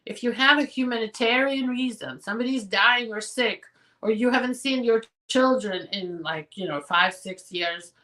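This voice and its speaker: A hoarse woman attorney answers viewer questions on a live show in a direct, practical way. Her voice sits a little high and sounds bright and forceful. She sounds a bit distant from the mic. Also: normal speed.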